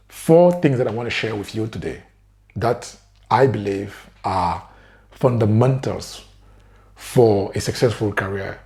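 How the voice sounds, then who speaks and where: A man's voice: on the low side, darkish tone. A man with a French accent whose first language is French, talking earnestly to camera as a career coach and often adding "right?". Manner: earnest, keeps asking "right?". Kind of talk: direct-to-camera advice talk.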